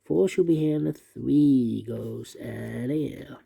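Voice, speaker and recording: A man with a very muted, dark voice that creaks at the edges. He talks through a puzzle step by step, methodically, in repeating sing-song phrases. The sound is dry and close. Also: mid-range voice, takes it slowly, no room sound. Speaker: puzzle instructor. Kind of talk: unscripted step-by-step sudoku tutorial, thinking aloud.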